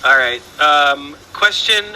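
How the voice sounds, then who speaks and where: A Southern man hosting a relaxed trivia show, his voice sitting fairly high and ringing very bright. He rambles playfully, stumbling over fillers and restarting his sentences. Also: slow, slight background noise, poor audio. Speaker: trivia host. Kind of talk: casual online trivia show.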